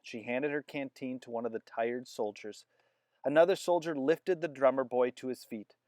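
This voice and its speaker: A man reading a children's chapter book aloud with expressive storytelling. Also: medium pitch, moderate pace, no room sound. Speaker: narrator.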